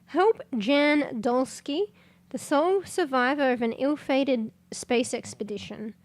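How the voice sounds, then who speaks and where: Woman, fairly high voice, stuffy and congested, rambling stream chat, swears casually. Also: slow pace, dry recording. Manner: rambling, casual swearing, sudden loud exclamations. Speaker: livestreamer.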